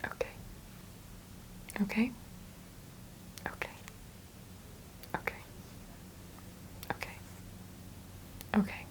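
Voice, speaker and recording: A woman playing the patient in a soft-spoken ASMR roleplay, giving quiet, terse answers. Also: very slow, long pauses, poor audio.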